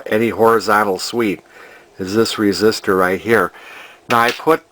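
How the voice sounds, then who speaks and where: A man's voice: lowish voice, a bit bright. An older man, casually narrating a repair as a hobbyist, rambling and hesitating as he thinks aloud. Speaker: hobbyist vintage TV restorer.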